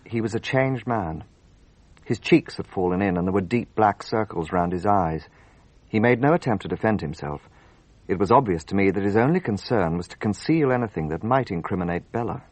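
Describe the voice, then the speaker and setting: An actor playing an earnest, impulsive gentleman who narrates a radio drama, with a husky voice. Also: lowish voice, lively intonation, fairly quick, no echo. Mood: grave narration.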